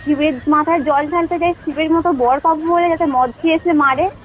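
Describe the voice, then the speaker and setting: A woman in a casual phone conversation, answering a prank caller with curt, sceptical, sharp retorts. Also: sits high, steady tone, fairly quick. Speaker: recipient of a prank call. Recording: muffled, noisy phone call recording.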